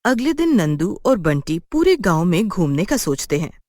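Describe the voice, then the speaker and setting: A woman's voice: fairly low pitch, big pitch swings, moderate pace, darkish tone, dry recording, clean studio sound. A woman narrating a scripted children's story.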